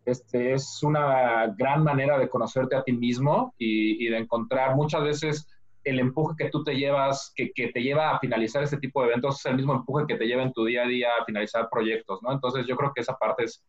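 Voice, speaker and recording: Man, Mexican accent, 30s, interview guest, frequent fillers, mid pitch, muffled audio. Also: steady tone, fairly quick. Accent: Mexican Spanish. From Mexico City. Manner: many fillers like "este" and "¿no?". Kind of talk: casual interview, storytelling. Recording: dull lo-fi audio.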